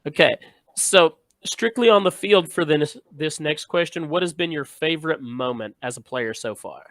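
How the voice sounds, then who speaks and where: A man's voice: a little high, normal speed, a bit bright, no room sound. A man hosting a casual sports interview, speaking in an informal, encouraging way. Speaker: host.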